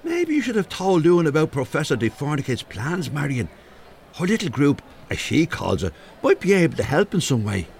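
An actor playing a polite, earnest and excitable young man with a wordy manner in a comedy audio drama. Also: on the high side, normal speed, vocal fry, noisy background, exaggerated voice.

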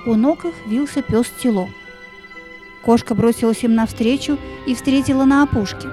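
A woman narrating a children's story in a measured, expressive way, drawing out words for dramatic effect. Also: fairly high pitch, music in the background.

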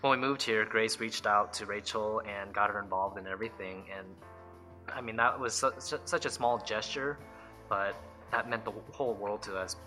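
A young man sharing a sincere church testimony in plain, unpolished speech with fillers and restarts. His voice is very bright and sharp, pitched a bit low, with faint music under him. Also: moderate pace. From Reno, Nevada, born in South Korea. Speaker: church member giving testimony. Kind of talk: interview testimony for a church video.

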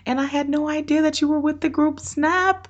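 A woman's voice: sits high, fairly even intonation, moderate pace, dull audio. A woman hosting an interview show, bubbly and effusive, laughing often and talking over a remote call. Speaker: podcast host. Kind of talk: interview chat.

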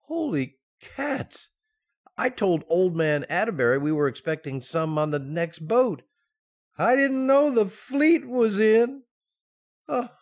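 An actor playing a flustered, easily shocked husband and father-to-be in a radio sitcom, excitable and dithering. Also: sits high, slow, highs cut off, exaggerated voice. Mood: stunned. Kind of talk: old-time radio sitcom acting.

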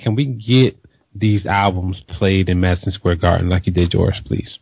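A man's voice: deep voice, moderate pace, creaky, husky, dry recording, lo-fi sound. A man co-hosting a casual podcast, telling stories animatedly and swearing freely. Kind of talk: casual chat, storytelling.

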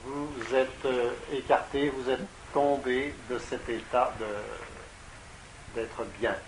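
A man's voice: mid-range voice, steady tone, takes it slowly, a little hiss or hum, rough recording. A man translating a spiritual talk into French, hesitant and often stopping on fillers. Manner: hesitant, frequent fillers, repeats words. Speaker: interpreter. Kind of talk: consecutive interpretation into French.

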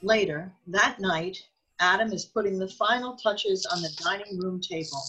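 Husky woman narrating a radio drama. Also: medium pitch, normal speed, fairly bright tone, rough recording.

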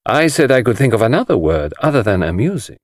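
Grave polite detective, slow n precise, very lilting pitch, audiobook. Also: male voice, big pitch swings, no echo, studio quality. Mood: courteous, cautioning. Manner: slow, precise, measured and formal. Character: grave, courteous, shrewd middle-aged male foreign detective. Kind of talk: dramatised audiobook dialogue.

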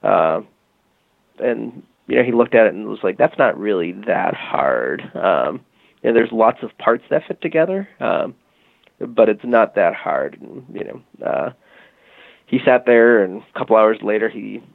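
A low, gravelly man's voice comes through a thin, phone-like line, the sound dry and close. He tells a long story loosely, padding it with "you know" and "um" as he goes. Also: very animated, unhurried, dry recording. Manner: rambling, many fillers and "you know". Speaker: guest, tech company executive. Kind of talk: casual storytelling interview.

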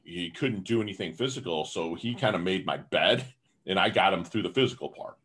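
A man talking as a podcast guest in a casual interview, upbeat and energetic, peppering his talk with "you know". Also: low voice, brisk, husky.

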